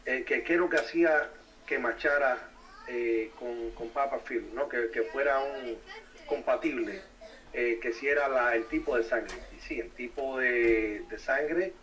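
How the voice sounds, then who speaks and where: A man's voice: mid-range voice, moderate pace, fairly bright tone, distant mic, poor audio. A man with Spanish as his native language, talking casually as a bilingual co-host on a live stream. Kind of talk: casual live-stream discussion, switching between English and Spanish.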